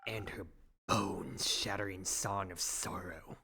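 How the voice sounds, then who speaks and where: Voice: sits low, fairly even intonation, a little slow, creaky, heavy background noise, pitched below the performer's own voice. A performer voicing a terse, gloomy, brooding adventurer in a fantasy visual novel.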